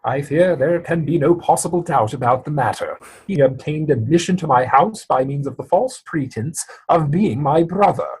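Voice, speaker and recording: A man acts out an indignant English gentleman guardian in a comic stage reading. His voice sits a little high with a husky edge. He is formal, emphatic and stubborn. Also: poor audio.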